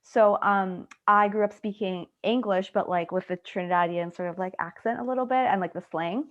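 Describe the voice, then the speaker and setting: A woman's voice: medium pitch, normal speed. A young woman from Canada, a student researcher, answering a question in a chatty way full of "like" fillers over a video call. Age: young adult. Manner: chatty, many "like" fillers, quotes people. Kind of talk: casual explanatory answer. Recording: muffled video call audio.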